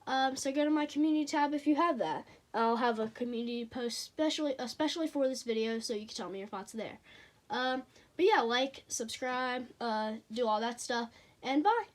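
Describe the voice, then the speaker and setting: A teenager with a childlike voice, reviewing toy figures in a casual, hesitant way with frequent pauses and fillers. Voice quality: childlike, high voice.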